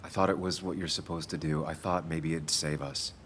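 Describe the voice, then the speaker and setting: An actor playing a brooding, introspective man in his thirties, measured and sincere, in naturalistic video game drama. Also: rumbling low, little pitch movement, a bit bright, a little hiss or hum. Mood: reflective, regretful.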